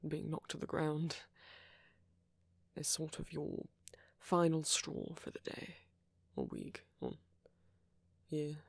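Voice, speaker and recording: A man playing a friendly, flirty vampire in an intimate ASMR roleplay, courteous and gently teasing, with a husky, murmuring voice close to the microphone. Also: fairly high pitch, wildly swooping pitch, takes it slowly, long pauses, no echo, full character voice. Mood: friendly, tentative. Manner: gently teasing, polite old-fashioned phrasing, soft chuckles. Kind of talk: ASMR roleplay, intimate one-sided dialogue to the listener.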